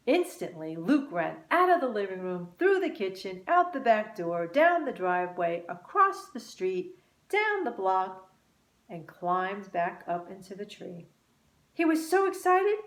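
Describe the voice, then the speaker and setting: A woman reading a children's story aloud as scripted narration, expressive and deliberate. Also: mid-range voice. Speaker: storybook reader. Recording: roomy, echoing sound.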